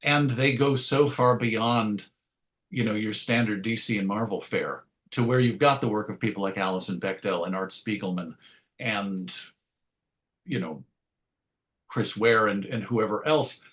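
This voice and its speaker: A man's voice: fairly low pitch, a little slow, a bit bright. Middle aged guy being interviewed, kinda wry and self-deprecating, just talking about back in the day. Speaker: interview guest. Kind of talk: conversational interview answers, reminiscing.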